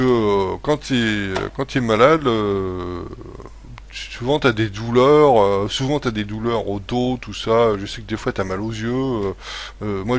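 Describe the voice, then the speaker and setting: A man talking casually in a vlog, rambling with many fillers and restarts, blunt and swearing now and then, chuckling often. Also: lowish voice. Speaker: vlogger. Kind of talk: casual home-remedy vlog.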